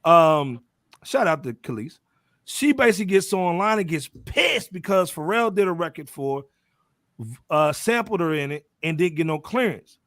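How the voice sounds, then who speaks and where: A man's voice: on the high side, a bit bright, no room sound, studio quality. An American man hosting a music panel, talking casually in street slang and bantering with his panelists. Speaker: podcast host moderating a music panel.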